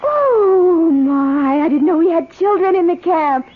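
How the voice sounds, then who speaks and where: An actress playing an outspoken, spirited young wife on an expedition, expressive and teasing in an old-time radio drama. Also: female voice, very high voice, measured pace. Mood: cheerful. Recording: dull, noisy old radio recording.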